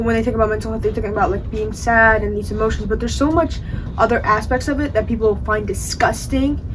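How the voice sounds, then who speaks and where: Earnest teen girl from Egypt, slightly high voice. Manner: earnest, filler-heavy. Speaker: podcast co-host. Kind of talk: casual chat. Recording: distant mic with clear background noise.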